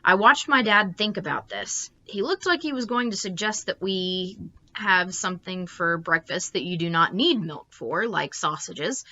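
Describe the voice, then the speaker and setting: Bright-voiced woman reading a bedtime story. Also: lowish voice, highs cut off. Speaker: children's storytime reader. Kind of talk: expressive bedtime story read-aloud.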